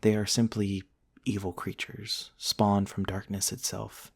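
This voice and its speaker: A man playing a gentle, eccentric vampire prince from the nineteenth century, softly telling his story in an intimate, genteel ASMR roleplay monologue. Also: fairly low pitch, flat delivery, breathy edge. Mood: calm storytelling. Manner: soft-spoken, wistful, genteel storytelling with pauses.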